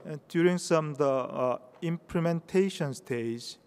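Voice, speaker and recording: A man from South Korea with a Korean accent giving a conference talk in English, halting often with hesitations. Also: fairly high pitch, darkish tone, clear, resonant tone. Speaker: conference speaker. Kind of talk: conference presentation. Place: conference hall. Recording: reverberant hall.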